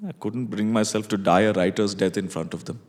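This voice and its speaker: An actor playing a doomed, sleep-cursed playwright, delivering a rueful, desperate stage monologue in a theatrical, deliberate manner. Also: male voice, on the low side, a little mellow, lightly acted. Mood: resigned, solemn. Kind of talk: dramatic stage monologue reading. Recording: long hall-like reverb.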